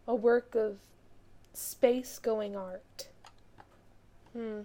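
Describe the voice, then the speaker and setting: A woman playing a starship crewwoman who talks quietly to herself in an audio drama monologue. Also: on the high side, fairly even intonation, very slow. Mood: wistful. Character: woman aboard a starship, musing alone.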